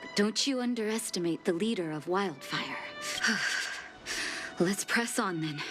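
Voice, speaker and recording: An actress voicing a composed, determined woman who is a doctor and leader, speaking in a measured, thoughtful way with soft sighs, with game music behind her. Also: medium pitch, lively intonation. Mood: resolute. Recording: studio voice-over with game music.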